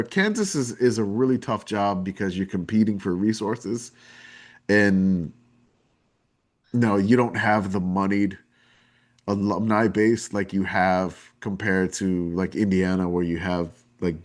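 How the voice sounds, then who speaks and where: A man's voice: deep voice, a little slow. A man with a New Orleans drawl chatting casually as a podcast guest, rambling and enthusiastic with lots of fillers. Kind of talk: casual chat.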